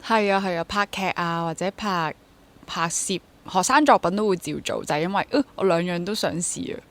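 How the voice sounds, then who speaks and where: A woman's voice: on the low side, fairly quick, a bit bright. A woman in her twenties with a Hong Kong accent, talking casually and reflectively as an interview guest, dropping English words into her speech. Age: 20s. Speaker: interview guest, freelance stage actor and drama teacher.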